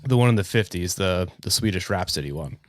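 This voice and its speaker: A man's voice: deep voice, animated, a little fast. A congested man hosting a casual podcast, talking informally and swearing freely. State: congested with a cold. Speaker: podcast host.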